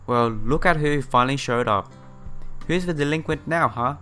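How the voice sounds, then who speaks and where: A man's voice: mid-range voice, normal speed, sharp tone, faint music behind, natural voice. A man voicing a grumpy, teasing teenage younger sister in a visual novel, blunt in manner.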